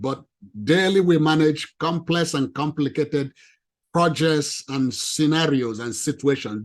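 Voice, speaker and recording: Immigrant guy w/ non-native accent giving a talk, fairly high voice, lively and emphatic, keeps saying ladies and gentlemen. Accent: non-native English. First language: not English. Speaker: presenter at a professional meeting. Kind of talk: animated presentation.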